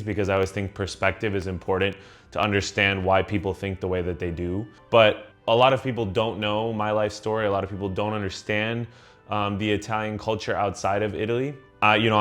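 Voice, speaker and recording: A young man from the United States talks through his thoughts in a slightly low, steady voice. He is earnest and conversational, with plenty of ums and false starts. Soft music runs faintly underneath. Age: young adult. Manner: earnest, with frequent ums and restarts. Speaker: vlogger. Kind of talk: reflective personal monologue.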